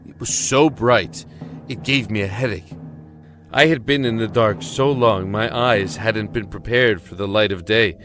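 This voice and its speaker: A man's voice: lowish voice, measured pace, a bit bright, soft background music. An actor playing a desperate imprisoned man in an audio drama, telling his story in an earnest, emotive, brooding way. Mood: brooding, haunted. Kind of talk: dramatic first-person audio drama narration.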